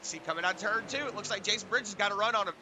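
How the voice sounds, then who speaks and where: A man doing relaxed, rambling play-by-play for an online truck race, his voice hoarse from a sinus infection and full of filler words. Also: high voice, fast, background noise. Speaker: race commentator. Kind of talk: play-by-play sim racing commentary.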